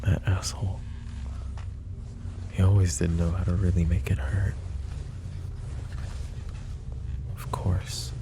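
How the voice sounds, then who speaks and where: A man playing a protective, possessive mafia boss in an intimate roleplay ASMR, speaking deliberately and broodingly straight to the listener. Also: low-pitched, little pitch movement, noisy background. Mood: bitter, brooding.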